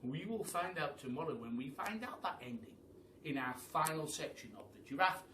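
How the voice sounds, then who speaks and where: Animated male storyteller, echoey room. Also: a little high, moderate pace, a little hiss or hum. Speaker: storyteller, primary school teacher. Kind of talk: animated children's story reading. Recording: roomy echo, far from mic.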